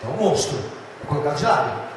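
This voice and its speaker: A man's voice: a little high, quick pace. Brazilian man with a paulista accent teaching literature, theatrical, long reverb and background noise. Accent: São Paulo state Brazilian Portuguese. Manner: lively, theatrical, addresses students directly. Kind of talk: animated exam-prep lecture. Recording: echoey hall, far from mic, noisy.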